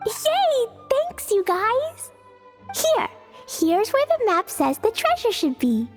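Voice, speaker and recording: A woman playing a sweet, innocent, excitable little girl in an anime video game, with an earnest childlike manner. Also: extremely high pitch, takes it slowly, over music, exaggerated voice. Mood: eager.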